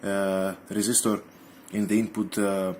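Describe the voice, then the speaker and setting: A man giving an unscripted technical explanation as a hobbyist presenter, halting and hesitant, with many ums. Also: low-pitched, level tone, darkish tone, slight background noise.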